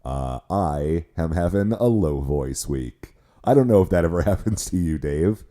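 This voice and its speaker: Hoarse man with a very deep voice. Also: expressive, measured pace, dark tone. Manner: rambling, self-mocking, many restarts. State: hoarse from illness, voice cracks. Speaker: podcast co-host. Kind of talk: casual chat.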